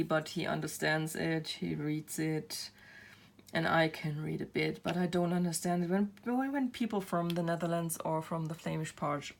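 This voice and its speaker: A woman's voice: lowish voice, vocal fry. A woman in her thirties with a German accent, chatting casually to camera and laughing at herself often. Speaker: craft channel host.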